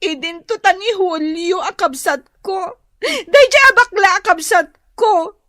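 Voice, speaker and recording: A woman's voice: extremely high pitch, animated, unhurried, bright, forceful tone, dry recording, studio quality, heavily acted. An actress playing a whiny, self-pitying, insecure young woman in a comedy radio drama, wailing and drawing out her complaints. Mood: complaining.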